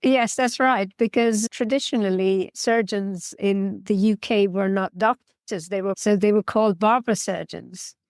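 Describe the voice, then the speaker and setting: A woman guest from Guyana explains her work in a practical, matter-of-fact way. Her voice sits at a middle pitch and is recorded close and dry, with no room sound. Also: fairly even intonation, a little slow, hardly any pauses, a little mellow, no echo. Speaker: orthopedic surgeon guest. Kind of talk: interview answers.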